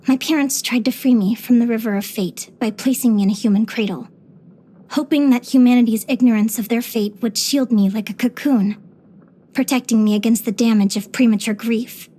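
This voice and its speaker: A woman voicing a cheerful, curious young adventurer and naturalist in a video game, with an earnest, expressive delivery. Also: a little high, lightly acted, rough recording. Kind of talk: video game character voice lines.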